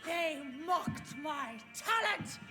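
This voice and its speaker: A woman playing a deranged, theatrical young killer in a video game, shrill, taunting and vengeful. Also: very animated, extremely slow, full character voice. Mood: enraged. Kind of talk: melodramatic video game acting. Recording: loud orchestral music behind.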